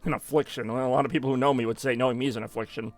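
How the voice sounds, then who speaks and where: A man's voice: mid-range voice, fairly even intonation, rapid-fire, faint music behind. A man giving rambling, self-mocking live gameplay commentary as a streamer, now and then dropping in German phrases. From United States. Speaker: gaming streamer.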